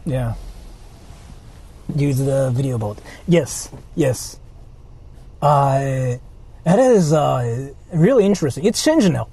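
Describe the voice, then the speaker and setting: A man's voice: medium pitch, expressive, slow pace, long pauses, mellow, breathy edge. A man in his thirties from Japan, chatting casually and crudely with viewers while driving. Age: 30s. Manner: rambling, profane, joking with viewers. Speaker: vlogger. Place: inside a car on city streets. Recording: road noise in a car.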